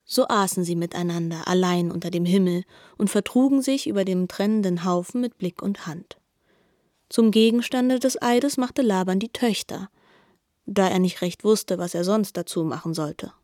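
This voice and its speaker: A woman's voice: mid-range voice, normal speed, a little mellow, no echo, studio quality. A woman delivering scripted literary narration in a formal, composed way.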